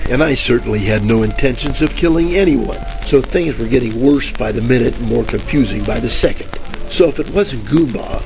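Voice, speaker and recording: An actor playing a bumbling, wisecracking 1940s private detective in a radio parody, rambling along in deadpan style. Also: male voice, medium pitch, creaky, natural voice. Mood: puzzled narration. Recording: crackly old-time radio static, music behind.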